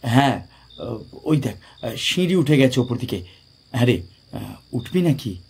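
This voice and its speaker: A man's voice: medium pitch, big pitch swings, unhurried, darkish tone, slightly rough, heavily acted. An actor playing a blunt, practical young man among friends in an audio ghost story. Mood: restless, eager. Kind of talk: audio drama dialogue in a Bengali ghost story.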